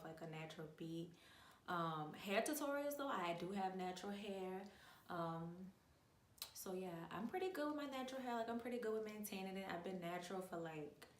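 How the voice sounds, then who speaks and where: A young woman with a New Orleans accent talks to her viewers in a loose, animated way, full of slang and filler words. Her voice sits a little low. The room is echoey and she is some distance from the mic. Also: a little hiss or hum. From New Orleans, USA. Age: 20s. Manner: chatty, animated, uses lots of slang and filler. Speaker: vlogger. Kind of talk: casual storytime chat.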